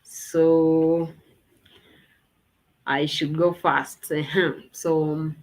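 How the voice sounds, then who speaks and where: A woman's voice: low-pitched, little pitch movement, extremely slow, poor audio. A woman hosting a casual online launch party over a video call, chatty and thinking aloud as she talks. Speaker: host.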